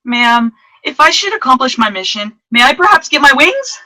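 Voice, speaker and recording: Woman playing an eager, bright-voiced apprentice angel. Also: high voice, sing-song, normal speed, exaggerated voice, low-quality recording. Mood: hopeful, pleading. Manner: gushing, deferential. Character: eager, polite apprentice angel over two hundred years old. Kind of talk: old-time radio play acting.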